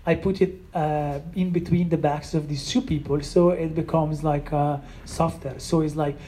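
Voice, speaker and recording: A sound artist, not a native English speaker, explaining their own piece with many pauses and restarts. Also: mid-range voice, fairly even intonation, background noise. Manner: hesitant, many restarts and fillers. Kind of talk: explaining own work in a conversation.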